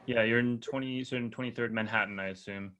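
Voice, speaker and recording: A man's voice: medium pitch, fairly even intonation, quick pace, fairly bright tone. A young man who grew up in Estonia, chatting casually on a call, hesitant with many fillers and restarts. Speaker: artist in a collaboration. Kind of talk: casual video-call chat. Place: apartment in a quiet block.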